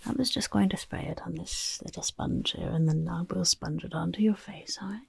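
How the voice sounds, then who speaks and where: A woman voicing a chaotic, eager little goblin overlord in a soft-spoken ASMR roleplay, with a creaky, raspy whisper and a fussy, repetitive manner. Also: on the low side, brisk, full character voice. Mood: bossy, fussing. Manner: speaks of self in third person, fussy, repeats words.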